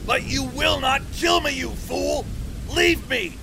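A man voicing a desperate, weary army commander who pleads and shouts, over background music. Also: extremely high pitch, expressive, slow, gravelly, background noise, pitched above the performer's own voice, exaggerated voice. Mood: pleading.

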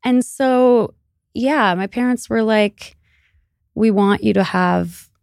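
A woman's voice: a little high, takes it slowly, gravelly, darkish tone, dry recording, studio quality. A woman from Iran, Persian her first language, chatting candidly as a podcast guest, often laughing and using fillers like "you know" and "like". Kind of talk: casual interview chat.

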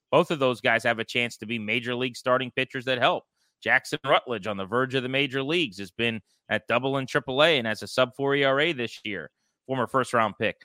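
A man with a bright, punchy voice and a dry, close sound. He co-hosts a sports podcast and lays out his opinions in loose, conversational commentary. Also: medium pitch, dry recording.

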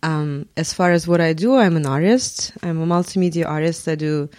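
A woman in her forties with a Russian accent, a guest on a podcast, speaking thoughtfully with frequent pauses and ums. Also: deep voice, darkish tone, no echo. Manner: thoughtful, hesitant with many ums. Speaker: guest artist and professor. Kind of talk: podcast interview.

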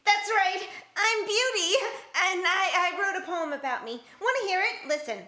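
A woman's voice: very high-pitched, animated, moderate pace, pushed and bright, roomy sound, exaggerated voice. A ditzy, vain young girl. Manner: giggly, dim, self-admiring.

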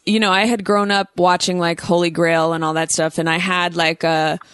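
A woman's voice: lowish voice, little pitch movement, moderate pace, fairly bright tone, smooth, dry recording. An American woman in her thirties hosting a show and chatting in a playful, bubbly, goofy way. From United States. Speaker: host. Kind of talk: casual chat.

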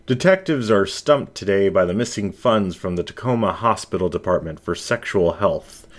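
Man as a deep-voiced fox newsreader. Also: expressive, normal speed. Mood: reporting. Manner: formal newsreader delivery. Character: male red fox television news presenter.

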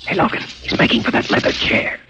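An actor playing a shrewd, wisecracking crime photographer in a 1940s radio drama, with a wry, confident manner. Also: male voice, quick pace, natural voice. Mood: hushed, tense. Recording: faintly noisy old 1940s radio recording.

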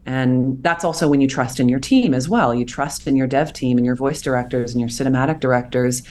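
A woman's voice: extremely low pitch, fairly quick, slight background noise. A woman in her thirties, a voice actor being interviewed, talking earnestly and reflectively about her craft. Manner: earnest, reflective, animated when explaining. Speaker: voice actor guest. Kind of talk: interview conversation.

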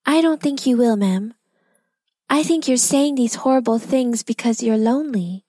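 A woman voicing a brave, kind young girl who talks politely and calmly. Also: on the high side, normal speed, no room sound, voice pushed up, lightly acted. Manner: polite and calm.

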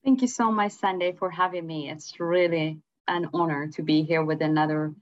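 A woman from Ethiopia speaks English, her voice sitting fairly low. She talks hesitantly, with many ums and soft laughs between thoughts. Her voice comes through dull, lo-fi call audio. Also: fairly even intonation, moderate pace. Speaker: guest, empowerment coach. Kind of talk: interview.

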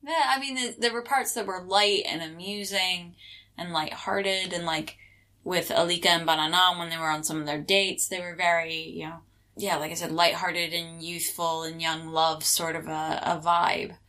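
A woman's voice: fairly low pitch, fairly even intonation, normal speed, sharp tone. Woman analyzing a film in casual chat. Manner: analytical, retells scenes in quoted voices.

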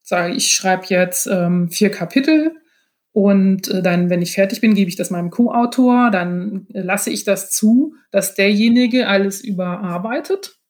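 A woman's voice: medium pitch, expressive, measured pace, darkish tone. A woman speaking as a podcast guest, explaining things from her own experience in a chatty, informal way.

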